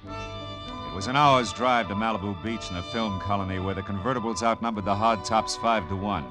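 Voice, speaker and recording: Hard-boiled male detective performed by an actor: low, terse, wry, vintage radio drama with music behind. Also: natural voice. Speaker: lead actor and narrator. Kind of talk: 1940s hard-boiled radio narration and acting.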